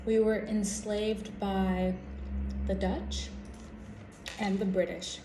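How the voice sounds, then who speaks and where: An American woman chatting casually to camera as a vlogger, in a halting, reflective way that trails off mid-thought. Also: medium pitch, level tone. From United States. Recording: roomy echo with background noise.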